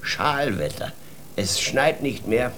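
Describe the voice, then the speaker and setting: A man's voice: mid-range voice, animated, slow, pushed and bright, a little hiss or hum, exaggerated voice. Whimsical, swooping storybook father-king. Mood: earnest. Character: whimsical poor father playing at being king. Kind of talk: children's radio play acting.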